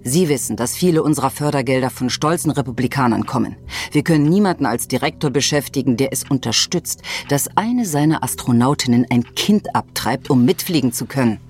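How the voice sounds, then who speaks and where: An actress playing a stern, uncompromising space agency boss in an audio drama, speaking in a cold, commanding manner. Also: female voice, rumbling low, animated, a little fast, a little mellow, faint music behind. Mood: cold pressure.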